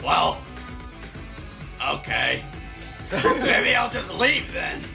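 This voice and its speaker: A man's voice: sits high, very animated, drawn-out words, creaky, music in the background, heavily acted. A man voicing a goofy, offhand, scheming cartoon villain with a drawling delivery in a comedic fan dub. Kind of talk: comedic fan dub of video game cutscenes.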